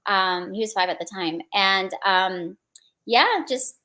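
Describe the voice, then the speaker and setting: A woman's voice: mid-range voice, slow pace, bright, forceful tone. A woman with an American accent, speaking as an interview guest and casually telling personal stories. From born in India, grew up in the United States.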